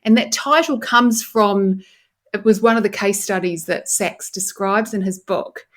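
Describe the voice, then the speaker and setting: A woman in her forties with a New Zealand accent, explaining and telling stories as an enthusiastic, expressive podcast guest. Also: medium pitch, a little slow. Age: 40s. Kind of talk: conversational interview, explaining and storytelling.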